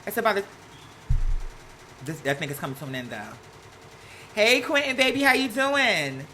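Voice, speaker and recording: A live stream host with an American accent chatting casually and gossiping, sassy and animated, slangy and rambling from one aside to the next. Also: medium pitch, normal speed, slight background noise. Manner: sassy, slangy, peppered with "girl" and "honey". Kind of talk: casual live chat to viewers, gossipy commentary.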